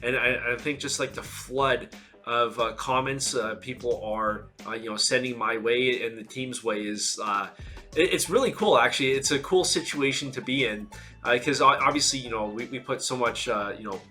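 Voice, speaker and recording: A man with a bright, forceful voice and a stuffy nose from a cold talks earnestly to camera about his conference. He stumbles through restarts and fillers. Faint music plays softly behind him. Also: mid-range voice, moderate pace. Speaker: conference organizer and host. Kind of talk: casual video to camera.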